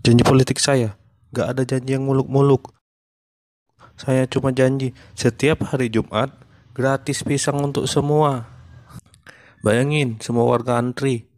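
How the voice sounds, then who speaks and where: A man voicing a male monkey stand-up comedian in a cartoon who is running for mayor, telling jokes in a deadpan, even way. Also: mid-range voice, unhurried, long pauses, no echo, clean studio sound, natural voice.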